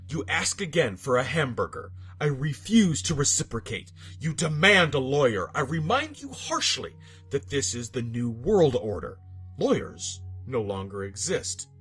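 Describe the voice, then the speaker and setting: A man's voice: medium pitch, very animated, a little slow, a bit bright, husky. Theatrical male narrator with dramatic swings. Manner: theatrical, emphatic delivery with dramatic drops and outbursts. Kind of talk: dramatic scripted narration of absurdist horror fiction. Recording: music bed behind narration. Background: background music.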